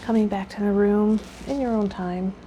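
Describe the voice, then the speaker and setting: A woman's voice: medium pitch, steady tone, normal speed, mellow, low-quality recording. A woman speaking as a tarot vlogger and guide, explaining and chatting directly to viewers. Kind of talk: reading card meanings, then casual chat to viewers. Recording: clear background noise.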